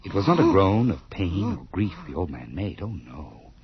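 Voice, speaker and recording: A man's voice: sits low, very animated, slightly rough, exaggerated voice. An actor playing a deranged, gleefully cruel murderer, telling his story theatrically and intensely in a radio horror play, with drops into whispers. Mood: brooding, gloating. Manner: theatrical, intense, slow and deliberate, dropping into whispers. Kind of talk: dramatic first-person radio horror narration. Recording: muffled, noisy old radio drama recording.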